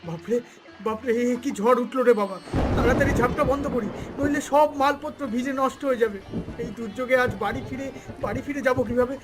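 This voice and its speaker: High male voice, actor as poor anxious shopkeeper dad, emotional, plaintive, cartoon melodrama, light music behind. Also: fast talker. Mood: alarmed. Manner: plaintive, emotional, repeats words. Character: poor, humble, anxious village shopkeeper and loving father.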